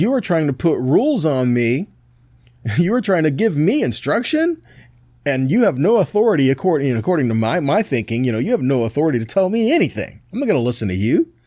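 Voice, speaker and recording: Animated man preaching, very dry sound. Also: medium pitch, no room sound. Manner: didactic, checks in with short tag questions. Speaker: preacher teaching a Bible study. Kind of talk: sermon, scripture teaching with readings.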